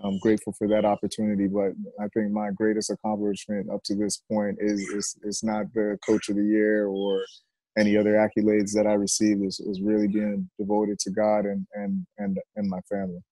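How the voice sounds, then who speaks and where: A middle-aged man, a football coach being interviewed on a video call, speaking reflectively and haltingly with repeated words. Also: fairly low pitch, monotone, warm tone, no echo, rough recording. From Jamaica and United States. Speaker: high school football coach, interview guest. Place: covered outdoor porch.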